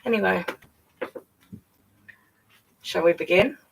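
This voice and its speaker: Female children's storyteller, lowish husky voice, very slow delivery, chatty and question-led. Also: poor audio. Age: adult parent. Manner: addresses child listeners with frequent questions and tag questions. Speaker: story-time channel host. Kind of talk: live children's story reading with chatty asides.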